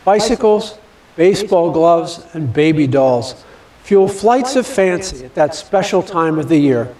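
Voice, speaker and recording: Man in his seventies, notably high voice, measured storyteller, deliberate pauses, recorded a little away from the mic. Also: lively intonation, darkish tone, recorded from a distance. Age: 70s. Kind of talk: reading a personal Christmas reminiscence.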